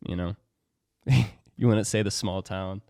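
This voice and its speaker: A young man hosting a casual podcast with a lowish voice, recorded close and dry with no room sound. He talks loosely and chattily. He laughs often and acts out what other people said. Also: fairly even intonation, a little slow, gravelly, mellow, no echo. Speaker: podcast host. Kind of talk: casual chat. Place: studio.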